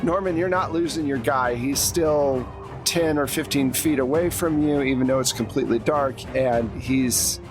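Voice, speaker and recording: A man with a medium-pitched voice narrates a tabletop roleplaying session as game master. He addresses players directly and paints each scene vividly in a conversational way. Music plays clearly behind him. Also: normal speed. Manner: conversational, vivid second-person description. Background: background music.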